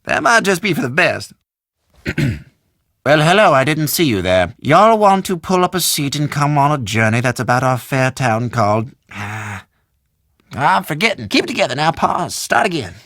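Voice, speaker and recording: Game role: rambling country storekeeper, Southern drawl, bright and creaky, pitch swinging wildly. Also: male voice, big pitch swings, normal speed, no room sound, studio quality. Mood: nervous, flustered. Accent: American Southern or South Central country. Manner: folksy, rambling, theatrical drawl. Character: folksy, chatty, forgetful small-town male shopkeeper. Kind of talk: video game voice acting.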